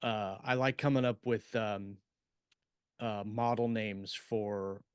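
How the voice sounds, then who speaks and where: Guy podcast cohost, smooth clear voice, dry close sound, casual and kinda halting, thinking out loud. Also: on the low side, steady tone, dry recording. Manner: halting, thinking aloud, informal. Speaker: podcast co-host, science fiction author. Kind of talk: casual podcast chat about writing.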